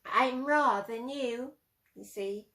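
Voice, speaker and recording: Woman voicing a slow, high-pitched timid little owlet. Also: sharp tone, heavily acted. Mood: polite, curious. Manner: childlike, plaintive, emphatic. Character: timid young boy owlet afraid of the dark.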